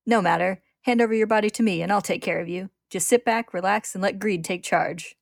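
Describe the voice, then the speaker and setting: A woman voicing a cocky, vicious male monster spirit, greedy and swaggering, with forceful drawn-out delivery in a story retelling. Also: medium pitch, creaky, no echo, clean studio sound, close to an everyday voice. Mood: cocky, commanding.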